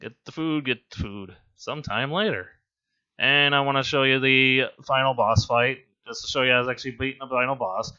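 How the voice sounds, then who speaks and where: A hoarse man with laryngitis giving casual, rambling, amused gameplay commentary as a voice-over. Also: medium pitch, a little fast, sharp tone, lo-fi sound. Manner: rambling, amused and self-deprecating. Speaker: game commentator.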